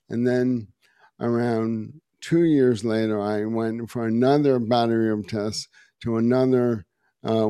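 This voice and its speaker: Slow, hoarse middle-aged man. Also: medium pitch, warm tone, dry recording, clean studio sound. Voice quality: raspy, hoarse voice. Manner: slow and halting, many uh and um. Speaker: podcast guest, meditation teacher. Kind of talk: personal story in conversation.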